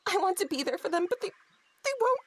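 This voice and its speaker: Childish toy pig, high-voiced, animated, hurt and indignant, dry audio-drama sound. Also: female voice, a little fast, dry recording, exaggerated voice. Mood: tearful, worried.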